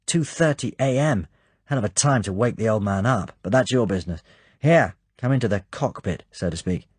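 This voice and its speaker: A man's voice: lowish voice, expressive, normal speed, breathy edge, dry recording, voice pushed up. A man doing a hearty, clipped British spy chief. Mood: brisk. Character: brisk middle-aged British station chief man.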